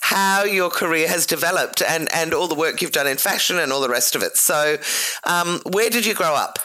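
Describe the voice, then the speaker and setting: A woman hosting an interview, asking questions in a quick, encouraging way. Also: sits low, bright, forceful tone, low-quality recording. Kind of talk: interview conversation.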